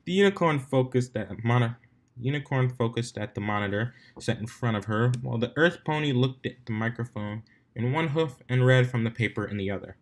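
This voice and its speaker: Expressive man reading a story aloud. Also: medium pitch, fairly quick. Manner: expressive, stumbles and self-corrects aloud. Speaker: fan fiction reader. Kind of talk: narrated story reading with character voices.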